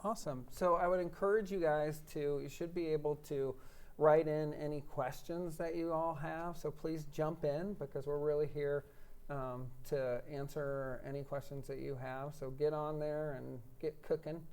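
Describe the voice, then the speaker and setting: An American man hosting a live online chat for admitted students, relaxed and talkative with a lot of fillers. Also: a little high, moderate pace, clear, resonant tone. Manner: relaxed, chatty, many fillers, jokes at himself. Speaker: director of admissions office, host.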